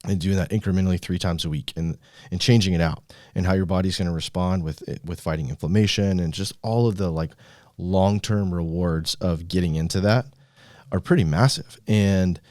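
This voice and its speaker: A man's voice: deep voice, a little fast, a little mellow, no room sound, studio quality. A man co-hosting a podcast, telling personal stories in an informal, slangy, bro-like way. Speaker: podcast host. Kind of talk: casual chat, personal storytelling.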